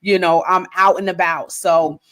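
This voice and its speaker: A middle-aged woman hosting a podcast, interviewing a guest in an expressive, chatty way. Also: lowish voice, normal speed. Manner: expressive, animated storyteller. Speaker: podcast host. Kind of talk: conversational interview.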